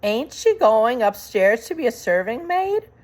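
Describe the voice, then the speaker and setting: A woman's voice: a little high, big pitch swings, unhurried, voice pushed up. A woman voicing a simple, stubborn young serving girl from a children's storybook, with rustic, ungrammatical, plaintive speech. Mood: pleading. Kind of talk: children's storybook read aloud.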